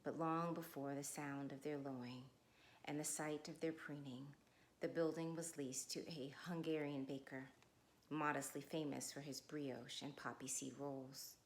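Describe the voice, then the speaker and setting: A middle-aged woman speaking with measured, deliberate phrasing, as a guest reader at a literary read-along. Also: low voice, steady tone, slight background noise. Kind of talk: informal introduction, then literary read-aloud.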